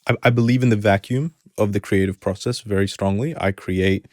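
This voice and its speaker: A young man talks in a casual interview, his accent a blend of Arabic, Kiwi and American. His voice sits low and stays fairly flat, and he rambles, restarting his sentences often. The sound is close and dry. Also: a little fast, no echo. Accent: hybrid of Arabic, New Zealand and American. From New Zealand. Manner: rambling, many restarts and fillers. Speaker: hip hop artist, guest.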